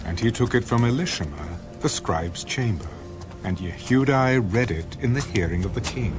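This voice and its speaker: A man with a lowish voice narrates scripture formally, in measured phrases. His intonation is lively and expressive, and music plays clearly behind the scripted reading.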